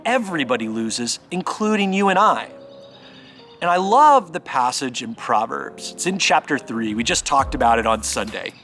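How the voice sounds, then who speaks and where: A man giving a conversational sermon as a pastor, earnest and emphatic, speaking directly to the listener and pausing deliberately for stress. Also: on the high side, fairly bright tone. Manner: exhorting, addressing the listener directly.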